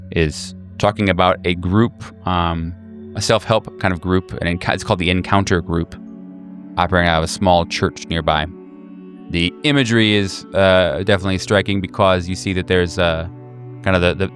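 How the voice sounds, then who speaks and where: A man with a deep, low voice works as game master of a horror tabletop game. He improvises his descriptions in a halting way, searching for the next word. Faint music sits behind him. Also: a bit bright.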